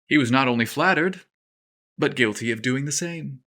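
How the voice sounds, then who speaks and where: A man reading a scripted story aloud with expressive, playful and dramatic delivery. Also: medium pitch, moderate pace. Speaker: storyteller podcast narrator.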